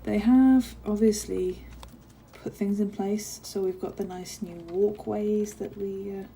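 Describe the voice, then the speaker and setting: A woman acts out a kindly giantess office worker in an ASMR roleplay. Her voice is very soft and dark, and she chats in an unhurried, caring way with small hesitations. There is a faint noise behind her. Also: medium pitch, steady tone, vocal fry, low-quality recording. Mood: caring, confiding. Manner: bubbly, caring, apologetic, hesitant with soft laughs. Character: friendly chatty giantess office worker greeting her tiny coworker. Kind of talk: ASMR roleplay, one-sided conversation.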